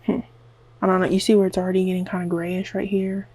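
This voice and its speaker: A woman's voice: on the low side, little pitch movement, quick pace, darkish tone, no echo. A woman with a Southern US accent chatting to her camera at home in a rambling, nervous, self-mocking way, with lots of "like". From American South. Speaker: beauty vlogger. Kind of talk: rambling casual vlog chat to camera. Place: at home, self-filmed while dyeing hair.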